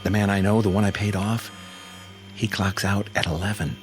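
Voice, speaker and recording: An actor in a radio drama playing an anxious test pilot desperate to escape, tense and questioning. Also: male voice, lowish voice, steady tone, normal speed, faint music behind, lightly acted. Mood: anxious, grave.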